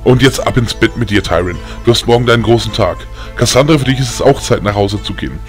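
A man voicing a strict, gruff but loving old grandfather who lectures sternly in an audio story. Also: mid-range voice, fast, faint music behind, natural voice. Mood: gruff, fond.

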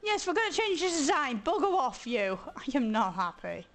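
A hoarse woman chatting casually as she streams a video game, thinking aloud and grumbling playfully. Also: high voice, big pitch swings, moderate pace, a bit bright. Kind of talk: casual let's-play commentary.

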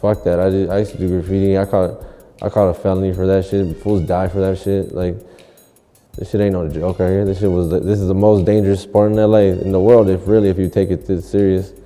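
A man's voice: deep voice, little pitch movement, fairly quick, dark tone. A man, a graffiti artist talking in an interview in laid-back street slang, full of restarts. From Los Angeles. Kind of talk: interview monologue. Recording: long hall reverb, faint music.

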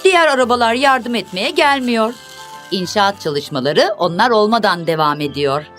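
A woman's voice: medium pitch, animated, moderate pace, fairly bright tone. A woman narrating a children's cartoon, speaking expressively in simple, instructive phrases as if to young viewers and characters. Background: music.

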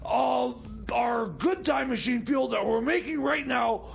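An actor playing an excitable, bumbling scientist in radio comedy, stammering and frantically repeating himself. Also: male voice, extremely high pitch, a little slow, gravelly, raised voice, full character voice. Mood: excited. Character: excitable, bumbling self-styled mad scientist time traveller.

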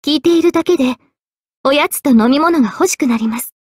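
A woman voicing a young, regal and gentle guardian spirit of a holy sword in an anime game, speaking politely and with measured poise. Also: high voice, no room sound, studio quality, natural voice. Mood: composed, earnest. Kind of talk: Japanese anime game voice acting. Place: studio. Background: faint background music.

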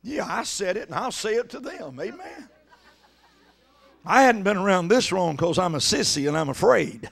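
Man, middle-aged, Pentecostal preacher, exhortative, wide swooping pitch, gravelly edge, impassioned delivery. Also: expressive, normal speed. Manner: exhortative, swinging from conversational asides to shouting. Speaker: evangelist preaching. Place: church sanctuary stage at a pulpit. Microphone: handheld microphone.

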